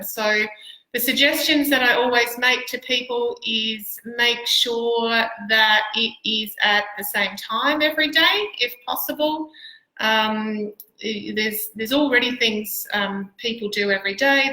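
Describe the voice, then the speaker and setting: A woman's voice: fairly high pitch, pushed and bright. A middle-aged Australian woman, a piano teacher, chatting casually to livestream viewers in a hesitant, stop-start way. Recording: roomy, echoey livestream sound.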